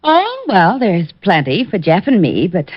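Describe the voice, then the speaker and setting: A coy, playful sweetheart in a vintage radio detective drama. Her lowish voice swings up and down as she teases, on a dry, dull old recording. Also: huge pitch swings, measured pace, husky, no echo, heavily acted. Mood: coy, mock scolding. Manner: coy, teasing, lilting. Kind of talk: 1940s radio acting.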